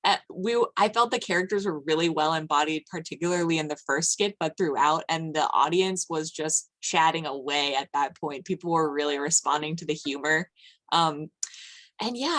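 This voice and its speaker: Low-pitched woman moderating a discussion. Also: a bit bright. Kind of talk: post-show discussion moderating.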